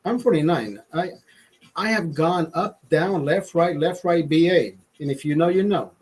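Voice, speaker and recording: High-voiced, rambling, profane man. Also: measured pace. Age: 40s. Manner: rambling, profane, repeats and restarts phrases; loud emphatic motivational rants. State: drinking beer, a bit tipsy. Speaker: livestreamer. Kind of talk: casual livestream chat.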